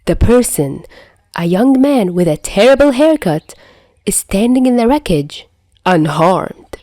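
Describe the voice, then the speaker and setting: A woman's voice: medium pitch, very animated, a little slow, warm tone, dry recording, studio quality. A woman reading a scripted story as an amateur audiobook narrator. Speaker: amateur fan fiction narrator.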